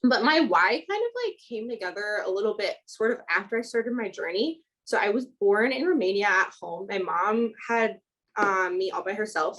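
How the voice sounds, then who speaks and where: A young woman from Chicago talks casually as a podcast guest. She chats freely and peppers her speech with "like". Her voice sits at a middle pitch. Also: animated, moderate pace, a bit bright, poor audio. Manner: chatty, many "like" fillers. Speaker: student midwife, guest. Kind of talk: casual interview chat.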